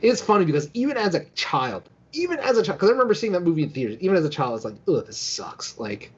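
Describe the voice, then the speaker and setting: A man's voice: high voice, sing-song, quick pace, breathy edge. A man co-hosting a casual podcast discussion, talking in a rambling, informal way with lots of filler phrases. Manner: rambling, informal, hedging with "like" and "you know". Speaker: podcast co-host. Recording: dull, lo-fi audio.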